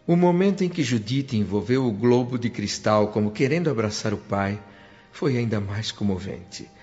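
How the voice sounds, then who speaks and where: A man's voice: on the low side, darkish tone. Earnest sentimental brazilian man telling his story on a radio drama, muffled lo-fi sound w music under it. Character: André, earnest emotional male spirit recounting his story. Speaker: narrator and lead character. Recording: dull lo-fi radio, music behind.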